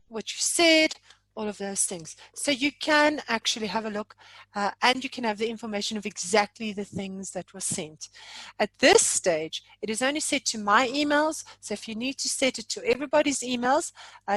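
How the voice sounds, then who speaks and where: High-voiced woman leading an informal software webinar. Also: dry recording, poor audio. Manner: chatty, playful, exaggerating. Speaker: software trainer leading a live online session. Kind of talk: informal live webinar walkthrough.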